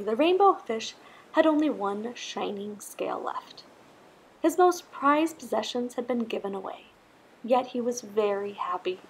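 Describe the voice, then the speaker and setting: A woman narrating a children's storybook aloud in an expressive, scripted style. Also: on the high side, slight background noise, low-quality recording. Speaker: storybook reader.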